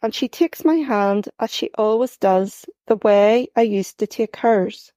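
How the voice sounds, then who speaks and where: A woman reading her own first-person story aloud at a measured pace, gently expressive, lifting her voice for lines of dialogue. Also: medium pitch, no echo.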